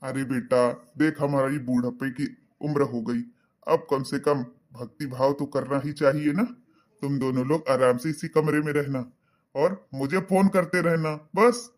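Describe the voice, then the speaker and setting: A man voicing an elderly, pious, accommodating father in a cartoon, soft-spoken and reasoning. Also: mid-range voice, steady tone, unhurried, lightly acted. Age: older. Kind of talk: cartoon voice acting.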